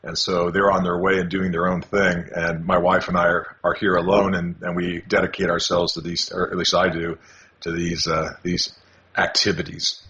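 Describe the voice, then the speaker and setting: Deep-voiced older American man. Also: monotone. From California, USA. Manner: halting, many restarts and fillers. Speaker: guest. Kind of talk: casual interview answers. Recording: dull lo-fi call audio with faint noise.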